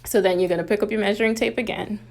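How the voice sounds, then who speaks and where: A woman's voice: medium pitch, fairly even intonation, very fast, creaky, darkish tone. Casual Bahamian woman giving craft instructions. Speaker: craft tutorial host. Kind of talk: casual how-to demonstration.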